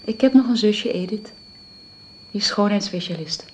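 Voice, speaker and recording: An actress playing a modest, earnest twenty-one-year-old nanny in a Dutch radio drama, speaking politely and carefully. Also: female voice, medium pitch, moderate pace, darkish tone, close to an everyday voice. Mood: candid, subdued. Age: young adult. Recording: faintly hissy old radio recording.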